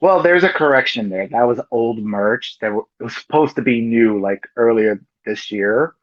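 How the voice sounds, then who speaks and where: A man's voice: medium pitch, expressive, moderate pace, dry recording. Chatty man on a dull, lo-fi line. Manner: loose and chatty, full of "you know" and "like". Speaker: podcast co-host. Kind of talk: casual chat.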